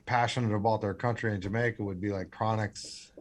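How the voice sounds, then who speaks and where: A man's voice: fairly low pitch, steady tone, fairly quick. An American man in a radio interview, talking earnestly with many restarts and fillers. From United States. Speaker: radio guest, scholarship founder.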